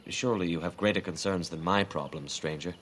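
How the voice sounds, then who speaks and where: An actor playing a grieving elven hunter in a fantasy game, speaking in a formal, measured, earnest way. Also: male voice, low-pitched, a bit bright, a little hiss or hum. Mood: guarded.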